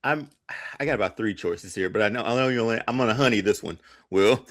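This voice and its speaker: A man's voice: lowish voice, fast. A man chatting casually as a panelist on a podcast. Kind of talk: casual chat.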